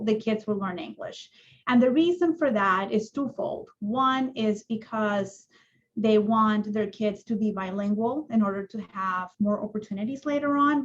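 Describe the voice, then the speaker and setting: A woman's voice: mid-range voice, a little hiss or hum. Woman on a muffled call. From Colombia. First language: Spanish. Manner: measured, explanatory storytelling. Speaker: judge. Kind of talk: webinar panel conversation. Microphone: video call.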